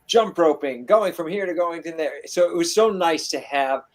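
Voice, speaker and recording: Man, a little higher than most, lively storyteller in a casual reunion chat. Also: normal speed. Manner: animated storyteller, acts out quotes. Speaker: guest, former performer.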